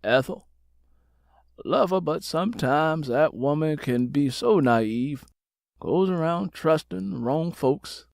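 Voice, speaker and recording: A man voicing an audiobook figure, a troubled, stubborn older preacher father who speaks in slow, deliberate, sermon-like phrases. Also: animated, vocal fry, darkish tone, dry recording, studio quality, exaggerated voice. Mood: weary, resolute. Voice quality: deep, weighty.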